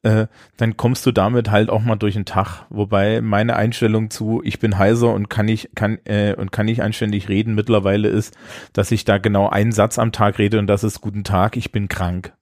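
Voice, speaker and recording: A man's voice: lowish voice, flat delivery, normal speed, hardly any pauses, a little mellow, no echo, clean studio sound. A man co-hosting a podcast in casual chat, telling stories animatedly with frequent stumbles and repeated words. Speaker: podcast co-host.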